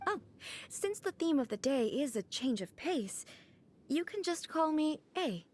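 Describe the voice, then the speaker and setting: A composed, regal woman ruler speaks slowly and formally in a slightly raised register. Every sentence is measured and controlled, aloof yet unhurried. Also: high voice. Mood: calm. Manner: calm, measured, formal speech. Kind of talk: video game cutscene dialogue.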